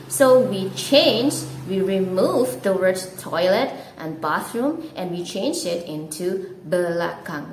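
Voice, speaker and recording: A woman who speaks natively, hosting an English-learning channel and explaining a lesson slowly and deliberately, with some filler words. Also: medium pitch. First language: Indonesian. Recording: echoey room with clear background noise.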